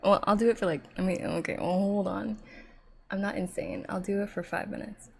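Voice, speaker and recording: A woman livestreaming casual ASMR, talking softly to her chat in a giggly way, sometimes dropping to a whisper. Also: mid-range voice, steady tone, brisk, gravelly, breathy edge. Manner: giggly, easily breaking into laughter. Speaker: streamer reading a story aloud to a live chat.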